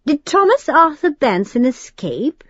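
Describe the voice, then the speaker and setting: A woman's voice: high-pitched, big pitch swings, measured pace, a bit bright, dry recording, highs cut off, pitched above the performer's own voice, full character voice. A woman voicing a cruel, haughty male demon lord with a precise, mocking British accent. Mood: knowing.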